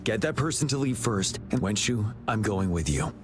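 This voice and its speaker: An actor playing a calm, commanding male leader in a game cutscene, speaking in a composed, measured way. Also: lowish voice, natural voice. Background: game music.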